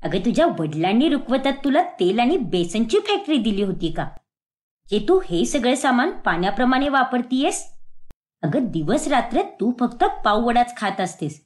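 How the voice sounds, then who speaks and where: A woman's voice: fairly high pitch, very animated, normal speed, voice pushed down. A woman voicing a scolding older mother-in-law. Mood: mocking, scolding. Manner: nagging, scolding, sharp-tongued. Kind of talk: animated moral story dialogue.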